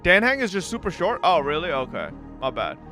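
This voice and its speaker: Guy streamer w a high bright voice, crude jokes, lots of cursing, talking to chat. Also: fairly quick. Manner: animated, crude joking. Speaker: livestreamer reacting to a game. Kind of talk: casual chat with viewers, profane. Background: game music.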